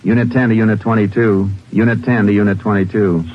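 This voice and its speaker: Guy playing a no-nonsense american texas ranger, clipped and steady, old radio show, muffled with lots of hiss. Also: on the low side, fast talker, lightly acted. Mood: businesslike. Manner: terse, matter-of-fact, clipped radio procedure. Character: steady, businesslike male Texas Ranger, narrating his case. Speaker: lead actor and first-person narrator. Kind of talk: 1950s radio crime drama acting.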